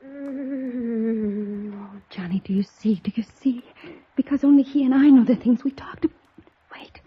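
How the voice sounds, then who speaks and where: An actress playing a credulous young woman at a séance, breathless, hushed and pleading in old radio drama style. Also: on the high side, unhurried, husky. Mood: excited, awed. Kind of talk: 1950s radio drama acting. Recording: muffled old radio with faint hiss.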